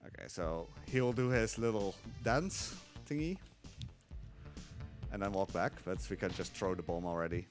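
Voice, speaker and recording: A man giving casual live speedrun commentary, explaining haltingly with dry humour. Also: low-pitched, soft background music. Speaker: speedrunner at a gaming marathon.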